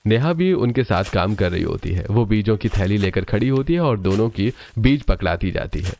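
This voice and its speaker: एक आदमी जो एक कहानी को स्थिर, सधी हुई आवाज़ में सुनाता है।. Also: male voice, fairly low pitch, expressive, normal speed, darkish tone, slight background noise. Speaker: narrator. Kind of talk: scripted story narration.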